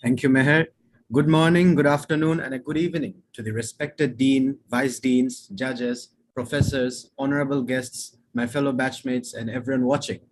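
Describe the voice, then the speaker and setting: A young man delivers an earnest, formal welcome speech on behalf of his fellow students. His voice has a husky, slightly breathy edge at an ordinary pitch. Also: steady tone, moderate pace, rough recording. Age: young adult. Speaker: student speaker.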